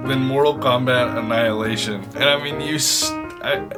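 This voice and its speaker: A man with a mid-range voice hosts a casual online reaction video. He talks in loose slang, mocking and exclamatory, while guitar music plays clearly behind him. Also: mid-range voice, fairly even intonation, normal speed. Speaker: YouTube host reacting to movie trailers.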